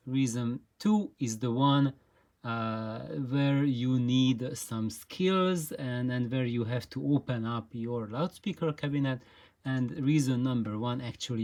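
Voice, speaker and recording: Slow, halting explainer, medium pitch. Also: a little mellow. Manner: halting, hesitant delivery with frequent pauses and repeated words. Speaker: host of an audio gear channel. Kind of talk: informal explainer talk.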